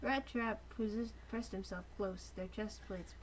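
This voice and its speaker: A woman's voice: medium pitch, steady tone, measured pace, noisy background. A woman giving a dramatic amateur reading of fan fiction, mixed with casual banter among friends.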